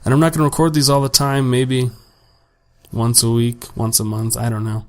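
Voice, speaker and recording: A young man, slightly raspy from a long day of talking, hosting a solo podcast at home and rambling casually and profanely about his week, with plenty of self-deprecation. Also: mid-range voice, little pitch movement, moderate pace, darkish tone. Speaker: podcast host. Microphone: home desk microphone without pop filter.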